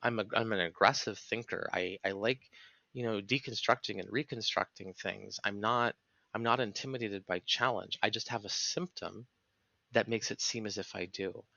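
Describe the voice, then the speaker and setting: A man's voice: fairly low pitch, steady tone, moderate pace, vocal fry, sharp tone, no room sound, dull audio. A man speaking as a podcast guest in reflective conversation, explaining things earnestly and analytically in long sentences.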